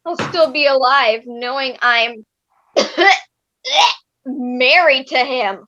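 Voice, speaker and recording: A woman's voice: sits high, takes it slowly, sharp tone, muffled recording, full character voice. A woman playing a bitter, sarcastic young wife in a comic staged reading, with melodramatic exasperation. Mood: despairing.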